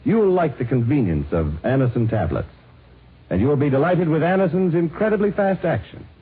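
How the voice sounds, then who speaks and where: A man announcing a 1950s radio commercial in a deliberate, persuasive manner. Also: a little high, big pitch swings, husky. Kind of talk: 1950s radio advertising read. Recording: tinny, noisy vintage radio broadcast.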